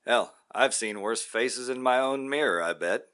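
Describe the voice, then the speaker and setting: A man voicing a desperate, burned-out male junkie in a poetry reading, pleading and shouting in a high, loud voice. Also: a little slow, clear, resonant tone, natural voice. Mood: scoffing. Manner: pleading, then raging and shouting.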